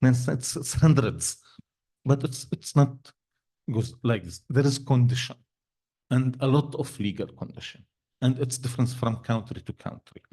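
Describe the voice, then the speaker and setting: A man whose first language is Arabic, answering on a panel in halting English with many restarts. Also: medium pitch, mellow. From Syria. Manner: halting, many restarts and fillers, non-native grammar. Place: event hall.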